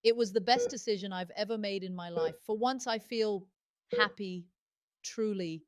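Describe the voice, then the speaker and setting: A candid, warm-hearted warplane girl, voiced by a woman, with a mid-pitched voice. The delivery is heartfelt audio drama acting. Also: moderate pace, dry recording, studio quality, natural voice. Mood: candid, upbeat.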